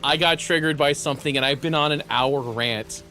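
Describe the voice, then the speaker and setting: A young man streaming live, chatting casually; he is excitable, laughs often and is losing his voice. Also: fairly high pitch, normal speed, faint music behind. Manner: excitable, laughs often, repeats words in bursts.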